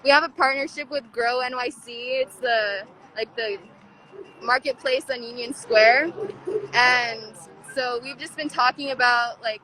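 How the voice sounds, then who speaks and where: Bright, high-voiced young woman, slow delivery. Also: poor audio. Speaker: interviewee, student. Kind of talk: casual chat. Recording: faint outdoor urban traffic noise.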